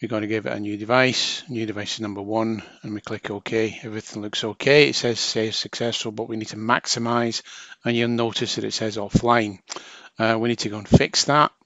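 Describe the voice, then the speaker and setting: A man's voice: fairly low pitch, fairly even intonation, fairly quick, bright, forceful tone, dry recording. A man narrating a hands-on technical tutorial as a trainer, explaining informally step by step.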